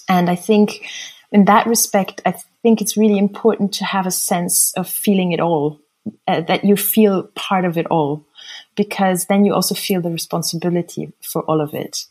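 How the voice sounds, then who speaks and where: A middle-aged woman with a mixed German and American accent, giving thoughtful, hesitant interview answers with many pauses. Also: fairly low pitch, darkish tone. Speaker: interview guest.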